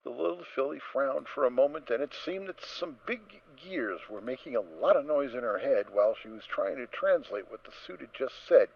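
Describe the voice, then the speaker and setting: A man narrating a scripted story in a theatrical, expressive storytelling manner. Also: medium pitch, normal speed, gravelly, few pauses, lo-fi sound.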